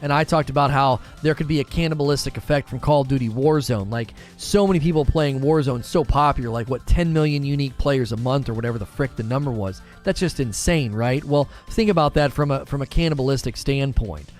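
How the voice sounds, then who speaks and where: A man in his forties hosting a casual gaming livestream, musing aloud in a relaxed, conversational way as he answers chat. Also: mid-range voice, a little fast, hardly any pauses, soft background music. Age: 40s. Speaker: gaming channel host reading live chat.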